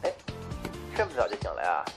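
An actor playing a patient, placating businessman in an audio drama, speaking gently and hesitantly. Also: sits low, huge pitch swings, fairly quick, vocal fry, over music, exaggerated voice. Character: patient, placating businessman devoted to his lover. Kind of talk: audio drama acting in Mandarin.

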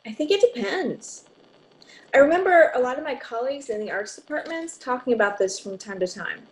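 Lady playing calm academic doctor on radio, highish voice, really varied intonation. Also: brisk, low-quality recording. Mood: measured. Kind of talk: radio drama acting. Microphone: close studio mic on boom arm.

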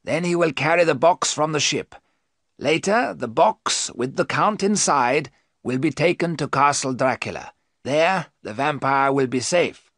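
A man's voice: on the high side, expressive, unhurried, fairly bright tone, no room sound, clean studio sound, pitched above the performer's own voice, full character voice. A man doing Van Helsing, a grave old professor. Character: wise elderly male professor, grave and commanding.

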